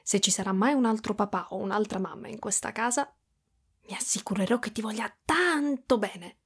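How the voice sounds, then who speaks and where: A woman voicing a gentle, protective spider-woman mother in an intimate ASMR roleplay, soft and breathy, often slipping toward a whisper. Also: medium pitch, normal speed. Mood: tender, soothing. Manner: soft, cooing, hushed, drifting into whispers.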